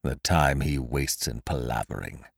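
A man acts out a wealthy storybook gentleman with a nervous temper. He speaks in a low voice, curt and imperious with an agitated edge, and the sound is dry and close. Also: sing-song, unhurried, vocal fry, dry recording, exaggerated voice. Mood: impatient. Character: wealthy, nervous, commanding man growing alarmed at an intruder.

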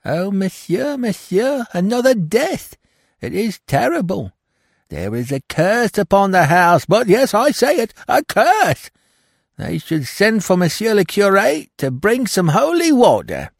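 A shrill, panicking housemaid, as a male reader puts her on, her voice leaping high and wailing as she tells the news. The reading is dry and close, with no room around it. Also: very animated, measured pace, creaky, no room sound, exaggerated voice. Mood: terrified. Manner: wailing, breathless and dramatic. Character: frightened superstitious female housemaid.

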